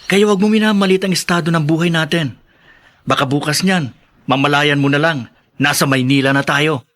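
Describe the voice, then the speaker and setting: A man's voice: on the high side, normal speed, fairly bright tone, natural voice. A man playing an upbeat, dreamy young Filipino student from the province in a radio drama, eager and optimistic. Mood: hopeful, earnest.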